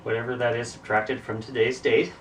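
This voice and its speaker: A man in his fifties, the host's father, answering in a dry, terse, deadpan way. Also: mid-range voice, brisk. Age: 50s. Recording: distant mic with faint hiss.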